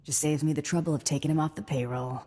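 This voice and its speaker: An actress playing a wry, cocky bounty hunter in a video game, with a deadpan delivery. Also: female voice, low voice, quick pace. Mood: dry, wry. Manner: sardonic, deadpan.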